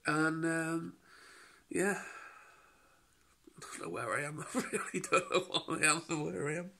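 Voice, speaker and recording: A man's voice: a little high, vocal fry, sharp tone. A man in his thirties with a British accent, tired and stuffed up from a cold, rambling casually in a daily vlog from his living room with a phone held at arm's length. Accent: British English. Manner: rambling and halting, many restarts and fillers. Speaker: vlogger. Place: living room at home, indoors. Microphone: phone camera held at arm's length.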